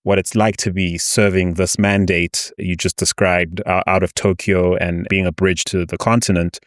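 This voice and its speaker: A man's voice: deep voice, little pitch movement, moderate pace, no echo, studio quality. A man hosting a podcast interview, asking long, thoughtful, winding questions. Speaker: podcast host.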